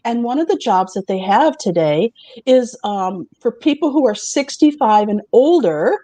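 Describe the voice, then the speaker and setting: A chatty woman host with a fairly high voice, recorded dry and close. She talks casually, laughs easily and reads aloud from her notes. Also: lively intonation, unhurried, warm tone, no echo. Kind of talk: casual chat.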